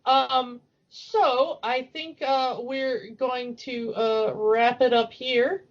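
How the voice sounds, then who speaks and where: A woman's voice: high voice, steady tone, very slow. A woman co-hosting a podcast in a casual, chatty discussion. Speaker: podcast co-host. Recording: dull lo-fi audio.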